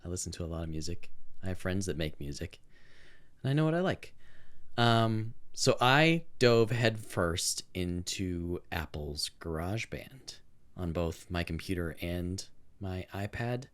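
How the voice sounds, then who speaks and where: Low-voiced man chatting casually. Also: expressive, unhurried. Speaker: podcast co-host and amateur theme music maker. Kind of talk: casual chat.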